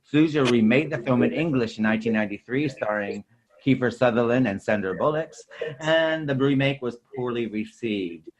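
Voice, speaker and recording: A man's voice: mid-range voice, moderate pace, low-quality recording. A man hosting a podcast, reading a scripted, informational film introduction like an announcer. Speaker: podcast host.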